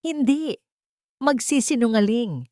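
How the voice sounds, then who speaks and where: A woman's voice: a little high, animated, slow pace, mellow, dry recording, clean studio sound, voice pushed up. A woman voicing a proud, wealthy matriarch who exclaims with desperate indignation in a melodrama. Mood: desperate, outraged. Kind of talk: Tagalog melodrama story dialogue.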